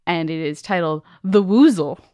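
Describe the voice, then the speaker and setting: A woman's voice: lowish voice, lively intonation, moderate pace, warm tone, dry recording, clean studio sound. A woman co-hosting a podcast, reading listener stories aloud and chatting in a casual, colloquial way. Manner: colloquial, peppers speech with "like". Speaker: podcast co-host.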